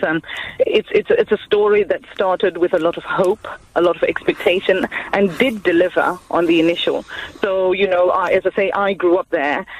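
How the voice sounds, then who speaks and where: A woman's voice: fairly low pitch, dry recording. A woman from Zimbabwe, calling a radio phone-in and speaking thoughtfully, hesitating with fillers. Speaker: caller. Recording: dull phone line.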